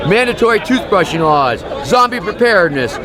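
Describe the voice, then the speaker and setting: A man putting on a self-proclaimed friendly fascist, delivering his campaign speech with pompous grandeur. His voice sits high for a man, and a loud crowd surrounds him in the street. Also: very animated, normal speed. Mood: grandiose. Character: mock tyrant presidential candidate, grandiose. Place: outdoors at a street rally. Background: crowd noise.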